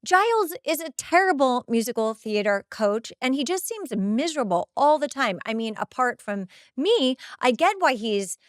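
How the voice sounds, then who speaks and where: A woman's voice: sits high, very animated, moderate pace, hardly any pauses, no room sound, studio quality. A woman playing a vain, snobby, scathing teenage mean girl, haughty and dramatic in a comic monologue. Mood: exasperated. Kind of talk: in-character comic recap monologue.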